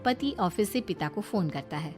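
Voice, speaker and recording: A woman's voice: medium pitch, expressive, normal speed, a little mellow, soft background music. A woman reading scripted narration for a family moral story, as a storyteller.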